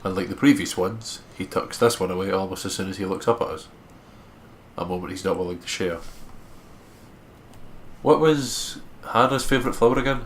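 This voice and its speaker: A man's voice: sits low, normal speed, long pauses, a little hiss or hum. A man with a congested nose narrates a visual novel and adds casual commentary as a co-host. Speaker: let's play co-host reading visual novel aloud.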